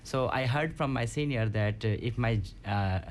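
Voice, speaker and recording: An Indian man with Hindi as his first language speaks on a panel in a hesitant way, full of fillers and short chuckles. His pitch is average for a man. A faint hiss of noise sits behind him. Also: moderate pace. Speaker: guest, Korean language scholar. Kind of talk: panel talk.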